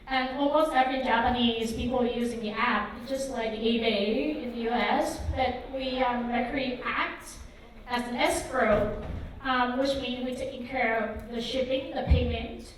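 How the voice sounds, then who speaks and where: High-voiced woman in an echoey hall. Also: fairly even intonation, unhurried, slight background noise. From Vietnam. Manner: hesitant, many ums and likes. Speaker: conference speaker. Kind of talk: conference talk. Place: conference hall. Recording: echoey hall, mic far off.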